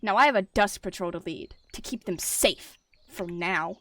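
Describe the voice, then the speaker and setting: A woman's voice: fairly low pitch, normal speed, pushed and bright, no room sound. A woman voicing a hot-tempered, ambitious male warrior cat deputy with a snarling, commanding manner in an animal roleplay story. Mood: curt, commanding.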